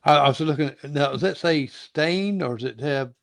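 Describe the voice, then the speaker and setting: A man hosting a casual interview, asking questions in a halting way and repeating his words. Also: fairly high pitch, creaky, no room sound, clean studio sound. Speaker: interview show host.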